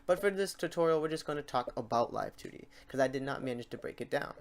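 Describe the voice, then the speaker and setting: A man hosting a software tutorial in a casual, unscripted way, talking to himself as he works through the steps. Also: medium pitch, expressive, fast talker. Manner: informal, talks to himself while clicking through steps. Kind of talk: casual unscripted screen-recorded tutorial narration.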